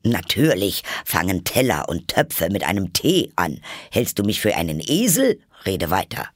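A man playing an irritable, bossy storybook king who threatens in a gruff, commanding manner. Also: low-pitched, big pitch swings, unhurried, dry recording, studio quality, heavily acted. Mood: angry.

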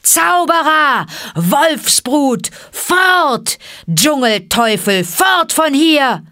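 A woman voicing an angry, jeering village mob in a storybook, shouting accusing cries. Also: sits high, expressive, drawn-out words, voice pushed up, heavily acted. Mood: furious shouting.

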